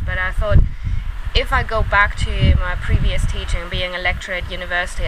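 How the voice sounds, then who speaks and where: A woman in her late twenties from Germany, a native German speaker with a German accent, giving casual, reflective interview answers. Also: fairly low pitch. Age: late 20s. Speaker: interview guest, volunteer lecturer. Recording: very noisy, distant mic, clicks.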